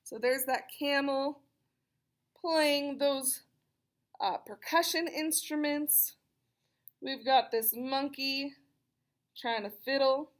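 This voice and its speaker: A woman reading a children's picture book aloud, expressive and chatty, with casual explanatory asides for young listeners. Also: sits high, slow pace, long pauses. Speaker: read-aloud storyteller.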